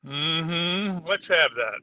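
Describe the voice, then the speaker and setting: A man playing a wisecracking, unhurried hardboiled detective in an old-time radio drama, wry and slangy. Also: on the high side, animated, pushed and bright, heavily acted. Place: home, recorded over a video call.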